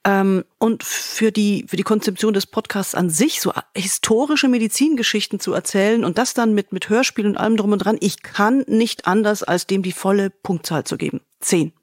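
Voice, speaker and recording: A woman's voice: mid-range voice, moderate pace, hardly any pauses, no room sound, clean studio sound. A middle-aged woman co-hosting a podcast in casual discussion as a critic.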